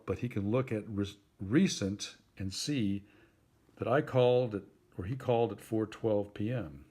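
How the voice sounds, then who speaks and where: A man with a fairly low voice teaches basic steps as an instructor. His delivery is plain and deliberate, phrased as simple spoken step-by-step guidance for a learner. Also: darkish tone. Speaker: instructor helping a phone user.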